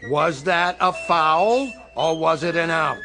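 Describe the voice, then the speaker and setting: An actor playing a belligerent barroom gambler in a radio drama, argumentative, slangy and full of bluster. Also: male voice, on the high side, slow, over music. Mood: menacing. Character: belligerent, hot-headed male barroom gambler.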